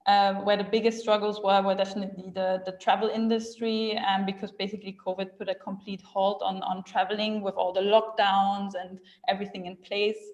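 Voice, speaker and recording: A woman's voice: medium pitch, little pitch movement, fairly quick, hardly any pauses, a little mellow, dull audio. Chatty Austrian-raised woman in an echoey room. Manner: chatty, laughs often, many fillers. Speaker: guest speaker. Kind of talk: casual chat.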